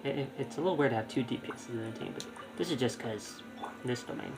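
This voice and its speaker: A man's voice: medium pitch, fast, music in the background. A man streaming a video game, thinking aloud in casual, rambling live commentary.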